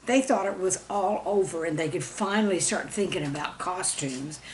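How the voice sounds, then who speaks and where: A woman reading a scripted children's story with expressive, dramatic storybook emphasis. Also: lowish voice, normal speed, slight background noise, rough recording. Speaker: storyteller and author reading own stories.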